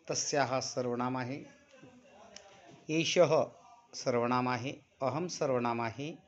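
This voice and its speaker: Marathi guy teaching sanskrit online, takes his time, says things carefully, kinda muffled call sound. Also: mid-range voice. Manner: instructive, enunciates deliberately, prompts students with questions. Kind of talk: online class instruction with chanted verse recitation. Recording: dull, muffled online class audio.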